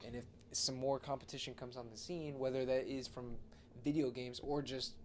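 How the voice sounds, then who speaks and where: A man with an average-pitched voice co-hosts a podcast. He talks casually and conversationally, with a faint layer of background noise behind him. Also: steady tone, normal speed, a little mellow. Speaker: podcast co-host.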